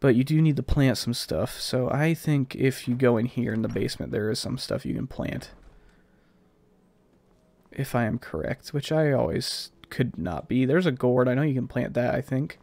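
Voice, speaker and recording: A man's voice: mid-range voice, moderate pace, creaky, darkish tone, no echo. A man with a slightly stuffed-up, scratchy voice giving casual, rambling gameplay commentary as a let's play host.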